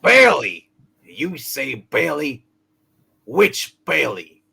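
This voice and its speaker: A man's voice: on the high side, big pitch swings, very slow, vocal fry, bright, forceful tone, breathy edge, heavily acted. A man acting a belligerent, bullying husband picking a fight in a hammy amateur radio play. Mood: menacing, aggressive.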